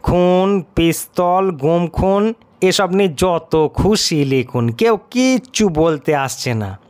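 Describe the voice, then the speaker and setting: A man's voice: high voice, animated, takes it slowly, darkish tone, clean tone, no echo. An actor playing an irascible, scornful male stranger in an audio story, scolding sarcastically. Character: irascible cigar-smoking stranger, scornful critic of ghost stories. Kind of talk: audio horror-comedy story acting.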